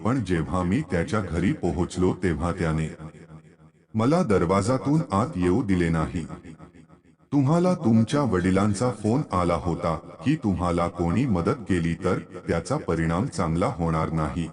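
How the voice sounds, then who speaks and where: A man's voice: deep voice, lively intonation, a little slow, darkish tone. A man narrating a scripted first-person story as a storyteller.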